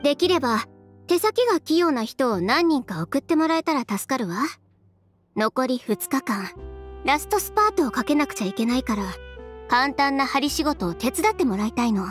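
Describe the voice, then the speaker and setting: A confident young tailor in a Japanese game. The voice is high and lively in pitch, with a matter-of-fact, no-nonsense delivery. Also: female voice, moderate pace, soft background music. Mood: composed, businesslike. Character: poised, businesslike young woman fashion designer, direct and confident. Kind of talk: Japanese video game voice acting.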